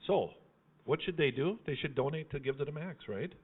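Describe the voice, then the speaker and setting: A man's voice: fairly high pitch, animated, a little fast, breathy edge. A man chatting casually as host of a live fundraiser, in a folksy, teasing way. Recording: thin phone-like sound.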